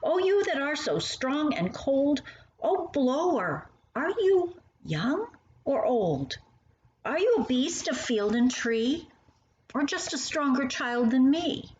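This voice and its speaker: Animated woman reading to children, roomy sound. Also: sits high, slow pace. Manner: animated, addresses the young listener directly. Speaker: author reading aloud to young children. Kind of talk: expressive children's poem and storybook reading. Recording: roomy, muffled sound with faint noise.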